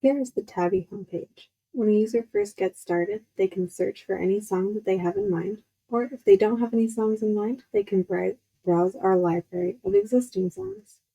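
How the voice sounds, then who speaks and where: A young woman, giving a guided walkthrough of a software product. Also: mid-range voice, lively intonation, normal speed, husky, rough recording. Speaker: student demo presenter.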